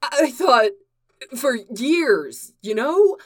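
A man's voice: a little high, big pitch swings, moderate pace, sharp tone. A woman reading the part of an anxious, lovesick grown man who pleads with a rising voice. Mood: pleading.

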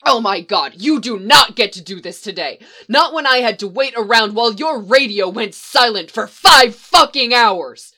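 A woman voicing a reckless young male agent, shouting and swearing bitterly. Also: mid-range voice, sing-song, normal speed. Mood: furious.

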